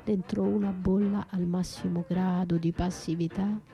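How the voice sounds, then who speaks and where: An actress playing a battered wife left for dead, anguished yet defiant, in a theatrical, poetic radio monologue with drawn-out, breathy delivery. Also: female voice, on the low side, level tone, dark tone, soft background music, natural voice. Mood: resigned, hushed. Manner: theatrical, drawn-out, breathy, shifting from whispers to cries. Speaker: stage actress and writer performing a solo radio play.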